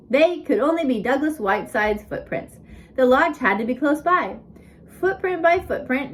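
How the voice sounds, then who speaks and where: A woman reading a scripted story aloud as an audiobook narrator, with expressive emphasis and dramatic pauses. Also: high-pitched, a little hiss or hum. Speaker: audiobook reader.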